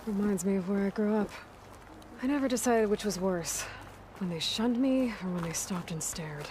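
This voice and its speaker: A woman's voice: mid-range voice, moderate pace, noisy background, close to an everyday voice. A woman playing a resolute, observant young outlander huntress in a video game, wry and self-possessed. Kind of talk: video game cutscene acting.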